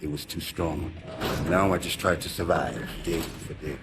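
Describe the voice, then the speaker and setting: A man's voice: extremely low pitch, a little slow. An actor playing a kind, weary male camp inmate who speaks broken English. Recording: game audio with clear background noise.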